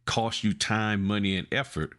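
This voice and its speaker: A man's voice: fairly low pitch, fairly even intonation, normal speed, fairly bright tone. A man co-hosting a podcast, chatting casually and colloquially, making his points through everyday examples. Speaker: podcast co-host. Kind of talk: casual chat.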